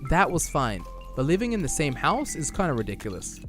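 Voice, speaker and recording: A man's voice: fairly high pitch, big pitch swings, fairly quick, a bit bright, faint music behind. A young man live-streaming, reading viewer chat aloud and answering it casually, his voice wearing out from a long stream. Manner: chatty, reads chat aloud and answers it, loose restarts. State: losing his voice.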